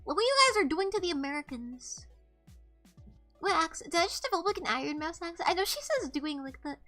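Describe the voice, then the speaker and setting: High, bright, nasal young woman streamer. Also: very animated, quick pace, soft background music. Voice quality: slightly nasal. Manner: rambling, profane, lots of "like" and "bro". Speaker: streamer host. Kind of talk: casual live-stream chat.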